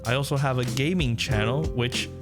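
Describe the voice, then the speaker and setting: A man's voice: medium pitch, normal speed, over loud music. A man hosting his own channel, giving a casual, upbeat and grateful outro as a voice actor. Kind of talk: casual YouTube outro chat.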